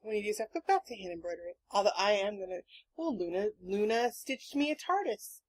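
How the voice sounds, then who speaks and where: A woman's voice: mid-range voice, fairly quick, slightly rough. Casual, sweary woman podcaster. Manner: animated, sweary, rambling storytelling. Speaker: podcast host. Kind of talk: casual chat.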